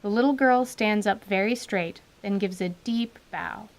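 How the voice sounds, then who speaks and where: A woman narrating a tabletop adventure as game master, in an even, wry storytelling manner. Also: mid-range voice, a little slow.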